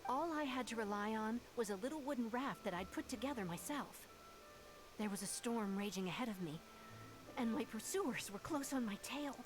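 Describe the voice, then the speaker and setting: A woman playing an earnest young female refugee in a video game, telling things in a measured, sincere way. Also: on the high side, expressive, natural voice, rough recording. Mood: solemn recounting. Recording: game music behind the voice.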